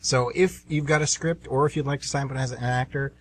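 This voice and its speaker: A man's voice: mid-range voice, steady tone, fairly quick, slight background noise. A man co-hosting an amateur radio show with casual, conversational announcing.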